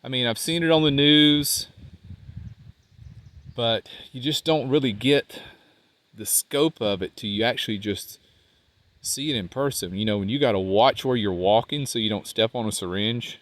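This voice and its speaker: A man with a congested, nasal voice, talking casually to the camera outdoors as a van life vlogger, rambling and trailing off quietly. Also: medium pitch, normal speed. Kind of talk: casual vlog talk while walking. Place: outdoors by a riverbank park.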